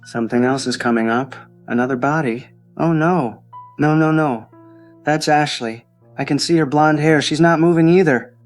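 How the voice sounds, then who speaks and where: A man playing a panicked young man phoning for emergency help in an audio drama, halting and repeating himself. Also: a little high, faint music behind, lightly acted. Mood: shocked, distressed.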